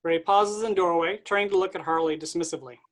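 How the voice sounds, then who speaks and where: Brisk reader of stage directions. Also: mid-range voice, fairly even intonation.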